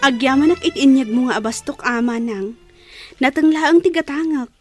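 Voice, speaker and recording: A woman's voice: sits high, moderate pace, faint music behind, close to an everyday voice. A woman playing a grateful, dutiful younger sister, a student, in a radio drama. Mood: grateful, earnest.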